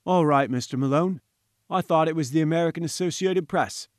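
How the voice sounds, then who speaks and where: A man voicing a huge, rough, suspicious gatekeeper in a storybook, talking in colloquial, folksy turns of phrase. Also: fairly high pitch, a little fast, no room sound, studio quality, natural voice. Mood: gruff, informative.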